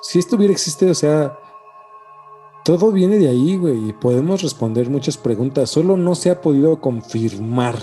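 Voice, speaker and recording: A man's voice: on the high side, expressive, moderate pace, warm tone. A man with a Mexican accent in casual podcast chat, slangy and emphatic, often repeating himself. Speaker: podcast co-host. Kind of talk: casual podcast chat about ancient mysteries. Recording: music behind the voice.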